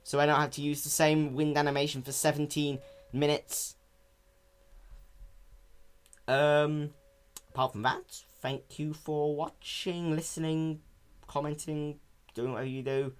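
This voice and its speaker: A man's voice: a little high, level tone, moderate pace, clear, resonant tone. A man giving an unscripted, casual review in a rambling, mock-grandiose way with exaggerated emphasis. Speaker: fan fiction reader and reviewer.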